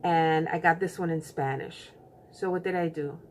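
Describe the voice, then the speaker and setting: A woman hosting a book channel, chatting casually with many pauses and fillers. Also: low-pitched, fairly even intonation, a little hiss or hum. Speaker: BookTube channel host. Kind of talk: casual book tag vlog.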